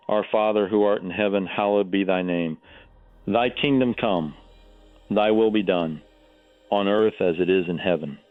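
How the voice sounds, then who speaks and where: An actor playing a devout, awestruck radio caller who speaks with solemn, prayerful fear of judgment. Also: male voice, fairly low pitch, close to an everyday voice. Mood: fervent prayer. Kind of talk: radio drama acting, phone-in. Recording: tinny phone line over faint music.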